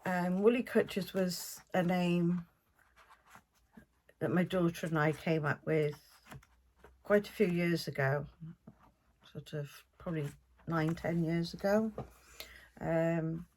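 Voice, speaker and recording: A woman's voice: lowish voice, slow pace, pauses often, darkish tone. Chatty older South Welsh woman. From South Wales. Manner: chatty, meandering, homely. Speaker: podcast host. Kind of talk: casual podcast chat.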